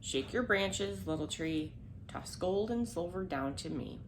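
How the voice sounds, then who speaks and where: Woman voicing a gentle, pleading storybook young girl. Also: on the low side, lively intonation, unhurried, background noise. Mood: wishing.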